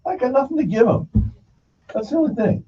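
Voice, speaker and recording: A man's voice: extremely high pitch, expressive, brisk. A man hosting a broadcast, chatting casually in a folksy, rambling storytelling way and acting out what people said. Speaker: broadcast show host. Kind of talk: casual rambling anecdotal conversation. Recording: dull, distant mic, faint noise.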